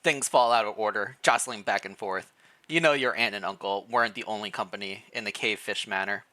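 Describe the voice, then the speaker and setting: A streamer reading fan fiction aloud in a playful, expressive way, mixed with casual livestream chat. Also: medium pitch, a little fast.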